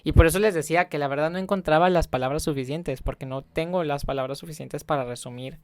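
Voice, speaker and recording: A young man tells his travel story in a loose, rambling way, full of fillers and restarts. His voice is smooth and clear, recorded close with no room sound. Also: a little high, fast, a little mellow, dry recording. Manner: rambling, many fillers and self-corrections. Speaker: travel vlogger. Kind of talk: casual vlog storytelling.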